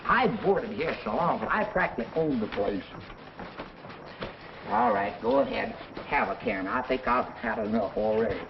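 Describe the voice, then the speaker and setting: Tipsy, boastful boarder, noisy old film. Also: male voice, medium pitch, very animated, moderate pace, vocal fry. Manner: folksy, rambling, ungrammatical. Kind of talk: 1940s film acting. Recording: muffled, noisy 1940s film soundtrack.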